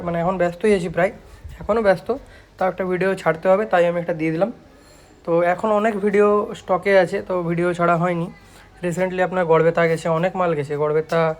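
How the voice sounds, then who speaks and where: A man with a West Bengal Bengali accent presenting products in a casual vlog, repeating himself like a salesman and mixing in English words. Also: high-pitched. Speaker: speaker repair shop owner and product presenter.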